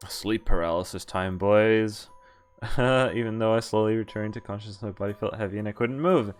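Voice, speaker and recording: A man's voice: lowish voice, moderate pace, clear, resonant tone. A man streaming a visual novel, reading the text aloud and breaking off into casual asides. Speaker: game streamer.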